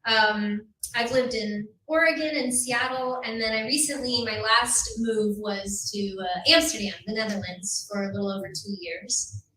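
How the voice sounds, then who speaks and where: Chatty bright-voiced woman. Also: on the high side, steady tone, normal speed. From San Antonio, Texas. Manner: chatty, laughs easily. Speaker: first-time filmmaker panelist. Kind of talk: panel discussion. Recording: echoey room, distant mic.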